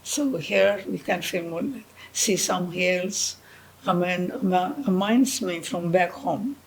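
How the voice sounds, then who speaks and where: A woman in her seventies from the Azores, recalling her past in slow, halting phrases. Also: lowish voice, gravelly, low-quality recording. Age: 70s. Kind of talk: interview recollection.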